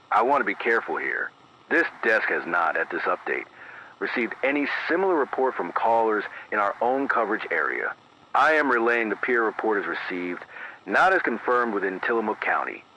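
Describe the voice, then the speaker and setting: Low, grave male radio newsreader, muffled signal. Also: bright, forceful tone. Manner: measured, deliberate, grave, clipped official phrasing. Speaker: radio news anchor in a fictional emergency broadcast. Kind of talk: scripted emergency radio news bulletin. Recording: muffled AM radio sound, background static.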